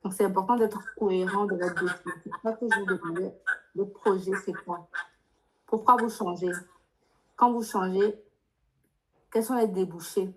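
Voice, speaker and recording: A woman's voice: medium pitch, fairly even intonation, moderate pace, long pauses, low-quality recording. A woman giving spontaneous advice as a workshop adviser, directive and insistent, with probing questions. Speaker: adviser leading a workshop for international students. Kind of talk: live advisory Q&A, spontaneous spoken explanation.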